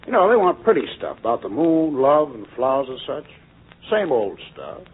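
A skeptical young American songwriter in an old radio play. He is casual and wry, with lively swings of pitch and a husky edge. The sound is thin and tinny, like a vintage broadcast. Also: normal speed. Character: easygoing, skeptical young male songwriter pal. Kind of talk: 1940s radio drama acting.

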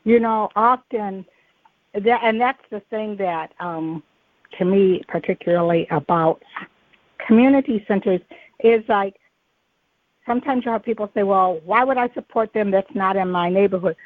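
A woman's voice: mid-range voice, normal speed, no echo. Woman interviewer on a narrow phone-like line. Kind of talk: conversational interview.

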